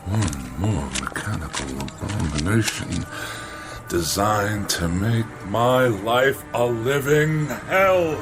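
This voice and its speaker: An actor playing a fussy, indignant middle-aged gentleman writer in radio drama style, with haughty, florid, formal diction. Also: fairly low pitch, expressive, takes it slowly, loud music behind the voice. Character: pompous, fussy, indignant older gentleman writer who hates machines. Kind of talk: classic radio drama acting.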